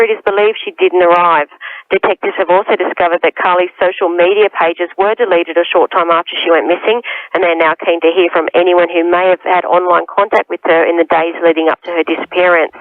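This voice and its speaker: A man's voice: high voice, flat delivery, a little fast, no room sound. A man giving a prepared statement as a police spokesperson, over a tinny phone-like line. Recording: narrow tinny phone-like sound.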